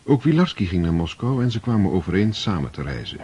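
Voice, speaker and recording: A man narrating a radio drama in a measured, storytelling way. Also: sits low, big pitch swings, darkish tone, a little hiss or hum. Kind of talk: scripted narration.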